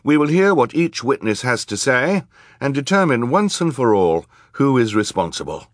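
Man playing a stern, high-pitched woman judge. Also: big pitch swings, moderate pace, dry recording, clean studio sound, lightly acted. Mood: formal. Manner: formal, commanding, firm. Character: stern, authoritative woman judge presiding over a courtroom. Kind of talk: audiobook character acting.

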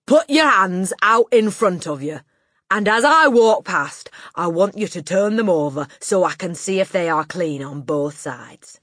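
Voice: mid-range voice, lively intonation, a little slow, fairly bright tone, breathy edge, no echo, studio quality, pitched above the performer's own voice. A storybook narrator voicing a gigantic, tyrannical headmistress who barks and sneers insults with contempt. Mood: contemptuous, commanding. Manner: barking, bellowing, sneering insults.

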